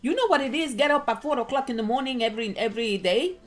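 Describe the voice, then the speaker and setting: Animated Puerto Rican woman telling stories. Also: a little high, fast talker, faint music behind. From Puerto Rico. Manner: animated storytelling, many fillers. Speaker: guest. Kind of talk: casual chat.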